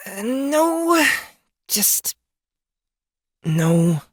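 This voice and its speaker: High-voiced, irritable young druid woman. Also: big pitch swings, extremely slow, no room sound, studio quality, full character voice. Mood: wry grumbling. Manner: exasperated, casual muttering and cursing. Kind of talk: fantasy audiobook character acting.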